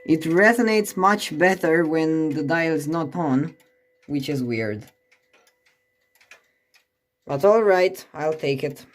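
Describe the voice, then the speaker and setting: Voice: medium pitch, slow pace, long pauses. A person giving a casual hands-on demonstration as a collector, thinking aloud in a musing, hesitant way. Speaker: clock collector presenting a clock. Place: indoors beside a ticking clock.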